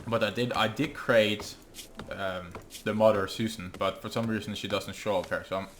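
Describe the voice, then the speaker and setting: A young man with a Norwegian accent, English not his first language, casually talking through his gameplay and thinking aloud with frequent ums and pauses. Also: lowish voice, steady tone, fairly bright tone, slight background noise. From Norway. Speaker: gaming channel host. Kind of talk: let's play commentary.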